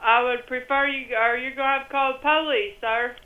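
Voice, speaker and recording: High-voiced rural Indiana woman on phone. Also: fairly even intonation, a little fast. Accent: rural Southern Indiana. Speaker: store employee answering the phone. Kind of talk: phone customer service. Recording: thin, echoing phone line.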